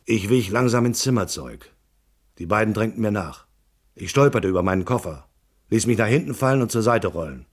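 Low-voiced hard-boiled private detective. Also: male voice, expressive, fairly quick, husky. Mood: cool, narrating. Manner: laconic, matter-of-fact. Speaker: narrator and lead character. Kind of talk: noir radio drama narration and dialogue.

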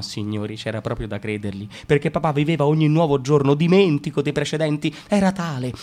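A man performing a radio theatre reading. He swings from sarcastic loud outbursts to low mutters. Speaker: stage reader.

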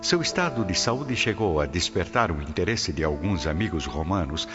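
Male narrator, Brazilian accent, deep bass register, solemn scripted storytelling, slightly muffled recording. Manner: solemn, literary, measured phrasing. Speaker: narrator of a radio drama. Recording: dull lo-fi audio under loud music.

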